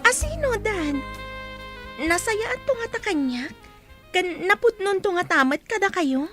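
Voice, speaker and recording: Very high-pitched teenage girl. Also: lively intonation, measured pace, fairly bright tone, exaggerated voice. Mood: curious, questioning. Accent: Ilocano. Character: teenage daughter, questioning and wary. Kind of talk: radio drama acting. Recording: background music under the voice.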